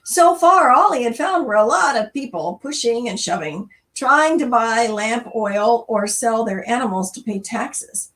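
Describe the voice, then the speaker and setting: Higher-voiced woman hosting storytime, slightly distant. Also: measured pace, few pauses. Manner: conversational, expressive, addresses listeners directly. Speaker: church storytime host. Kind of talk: informal devotional talk with story reading.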